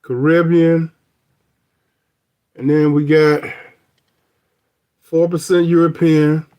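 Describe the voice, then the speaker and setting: A man's voice: on the high side, level tone, takes it slowly, mellow, smooth. A middle-aged man talking through his DNA results on a phone, rambling and joking with frequent restarts. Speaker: vlogger. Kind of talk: casual review of DNA results.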